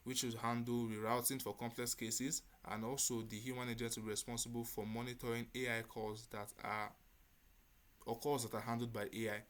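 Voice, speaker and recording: A man's voice: mid-range voice, fairly bright tone. A man giving a spoken demo presentation as a developer showing his project.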